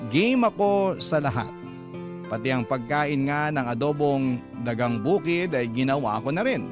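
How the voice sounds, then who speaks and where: A man's voice: medium pitch, expressive, a little slow. A man with a Filipino accent narrating a scripted first-person love story as a radio drama narrator. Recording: loud music bed behind. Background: guitar music.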